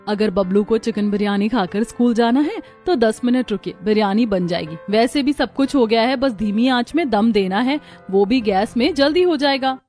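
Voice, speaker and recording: A woman voicing homely village women of one family in a cartoon story, with expressive talk. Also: on the high side, close to an everyday voice. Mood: scolding, reassuring. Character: village women of a family, daughters-in-law and mother-in-law. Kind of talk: Hindi cartoon story dialogue.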